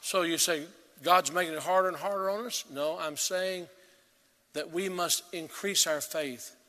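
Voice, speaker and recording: A man preaching a sermon as pastor, earnest and emphatic, swelling to loud peaks and dropping to quiet. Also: on the high side, a little slow, in a large hall.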